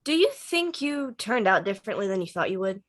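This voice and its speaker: A woman's voice: medium pitch, sing-song, a little fast. A woman reading an introspective, dryly witty teenage boy in conversational screenplay table read dialogue. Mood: wistful, dry.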